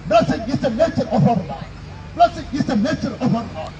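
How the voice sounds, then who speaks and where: A middle-aged man delivers an open-air street sermon with a very high voice. He speaks emphatically and keeps repeating his declarations into a handheld mic. Behind him a busy city plaza hums with crowd chatter and traffic noise. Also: sing-song, brisk, highs cut off. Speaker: street preacher. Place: outdoor city plaza by a railway station. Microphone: handheld microphone.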